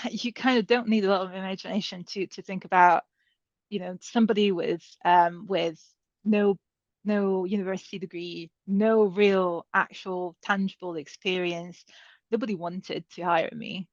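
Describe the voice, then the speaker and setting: A woman's voice: medium pitch, steady tone, unhurried, no echo. Woman, dull dry recording. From Serbia. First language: Hungarian. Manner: conversational, many fillers and restarts. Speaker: panel speaker. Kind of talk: career advice talk.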